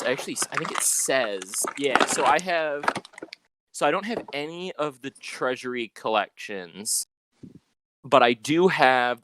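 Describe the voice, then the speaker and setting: A young man hosting a casual, unscripted podcast, rambling a little as he thinks out loud. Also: mid-range voice, clean tone. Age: young adult. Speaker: podcast host.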